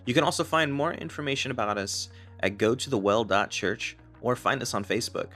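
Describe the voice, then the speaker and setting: A man reading a calm, welcoming scripted intro for a church podcast. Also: medium pitch, a little fast. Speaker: podcast announcer. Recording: intro with soft guitar music behind.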